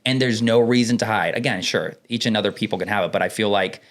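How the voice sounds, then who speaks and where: Young male co-host from the Dominican Republic, lowish voice, animated and expressive storyteller. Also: fast. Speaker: podcast co-host. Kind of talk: casual conversation.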